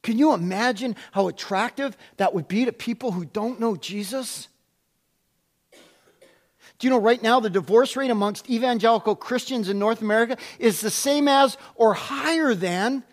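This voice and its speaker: A middle-aged man preaching to his congregation in a strikingly high voice. He speaks loudly, and his voice climbs further when he gets excited. He asks rhetorical questions and repeats them for emphasis. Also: normal speed. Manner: loud voice that rises when excited. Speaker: pastor. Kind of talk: sermon.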